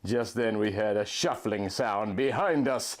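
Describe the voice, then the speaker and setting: A man's voice: fairly low pitch, a little slow. A man giving a theatrical, melodramatic reading of a first-person fan fiction story. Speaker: story reader, narrator.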